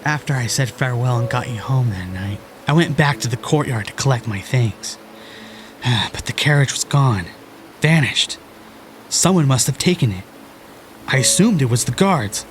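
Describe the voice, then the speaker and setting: A man's voice: medium pitch, lively intonation, normal speed, natural voice. A man plays a young hero in a fantasy audio drama. He is earnest and modest, with a natural mid-range voice over faint background noise. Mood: somber, apologetic.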